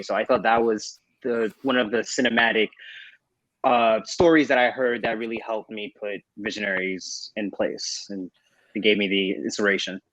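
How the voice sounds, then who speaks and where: A man speaks casually as a panelist. His voice sits fairly low, and the sound is dry with hardly any room in it. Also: fairly bright tone, dry recording, rough recording. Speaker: panelist, podcast creator.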